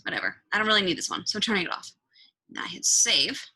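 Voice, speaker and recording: A woman giving a casual, improvised software tutorial, thinking out loud and swearing offhandedly as she goes. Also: medium pitch, sing-song, fast, slightly rough. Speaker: tutorial presenter.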